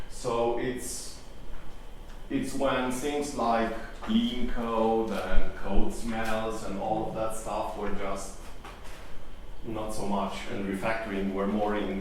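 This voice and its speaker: A man's voice: on the low side, slight background noise. A middle-aged man giving a live conference talk, hesitant and full of ums and restarts. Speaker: conference speaker. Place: conference hall. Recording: echoey hall from far off.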